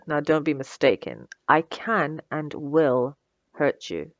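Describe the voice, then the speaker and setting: A woman's voice: deep voice, expressive, slow, no echo, highs cut off. A woman playing a smug, sarcastic female supervillain who taunts her captive with theatrical condescension in an ASMR roleplay. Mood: menacing, firm. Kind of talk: ASMR roleplay audio drama.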